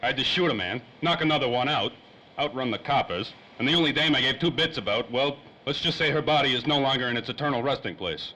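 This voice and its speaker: Hard-boiled gumshoe, played by a man, mid-pitched and forceful, wry slang, old radio serial with faint noise. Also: quick pace, close to an everyday voice. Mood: weary, pressing. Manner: slangy, wry tough-guy talk. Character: hard-boiled wisecracking 1930s private eye, world-weary man. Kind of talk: old-time radio drama acting. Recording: faintly noisy old-time radio recording.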